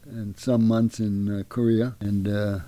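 An older man, a retired army veteran, recalling his past in a halting way with many pauses. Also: fairly low pitch, level tone, mellow, no echo. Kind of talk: casual recollection.

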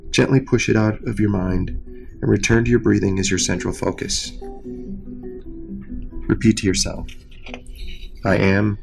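A man with a lowish voice and plenty of vocal fry guides a relaxation exercise. He speaks in a measured, soothing way, leaving long pauses, while faint music plays behind him. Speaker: meditation coach, nurse practitioner. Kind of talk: guided meditation, scripted instruction.

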